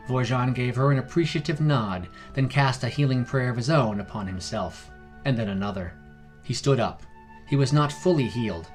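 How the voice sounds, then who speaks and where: A man reading a scripted fantasy story as its narrator. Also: medium pitch.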